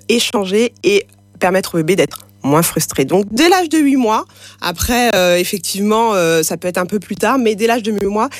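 A woman whose first language is French Sign Language, speaking as an interview guest, pausing often with fillers and restarts. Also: mid-range voice, dry recording. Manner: hesitant, many fillers and restarts. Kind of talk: casual conversational interview.

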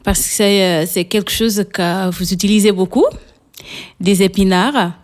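Hesitant woman with a Portuguese accent. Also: mid-range voice. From São Tomé and Príncipe, raised in Portugal. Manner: hesitant, frequent pauses with fillers. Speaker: guest cook. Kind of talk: radio interview.

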